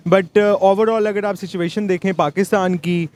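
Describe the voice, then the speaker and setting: A Pakistani man with a notably high voice speaks as an interviewee. He is blunt and sarcastic, giving opinionated answers and slipping English phrases into his speech. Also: steady tone, measured pace, darkish tone. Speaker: interviewee, university English lecturer.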